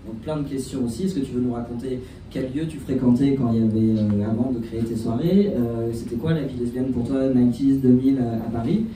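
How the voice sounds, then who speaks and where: A man's voice: mid-range voice, fairly even intonation, fairly quick, mellow, a little hiss or hum. A man moderating a panel, asking long rambling questions with fillers. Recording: echoey room, distant mic.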